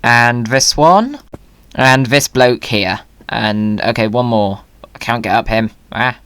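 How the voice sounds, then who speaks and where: Playful gaming host for casual commentary, middle pitch, very dry close-miked recording. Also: takes it slowly, a bit bright, smooth, dry recording. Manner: whimsical, playful. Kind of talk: casual gameplay commentary and chat.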